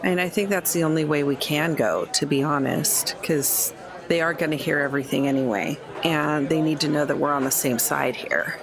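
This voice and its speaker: Gravelly, plain-spoken tabletop player. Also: medium pitch, fairly even intonation, moderate pace, crowd noise. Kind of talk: casual chat in a tabletop game.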